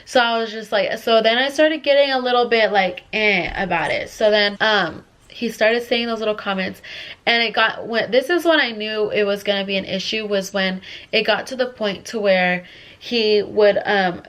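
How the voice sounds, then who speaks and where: A woman's voice: medium pitch, normal speed, fairly bright tone. Congested young woman rambling through a story. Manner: rambling, filler-heavy, restless. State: congested from a cold. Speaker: vlogger. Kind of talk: casual storytime.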